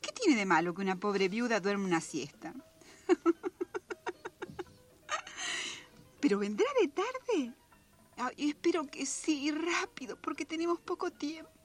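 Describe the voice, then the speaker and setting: An actress playing a playful, amorous and wistful widow in a radio drama, sighing and coaxing flirtatiously. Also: female voice, high-pitched, sing-song, slow, long pauses, soft background music, heavily acted. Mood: giddy, expectant.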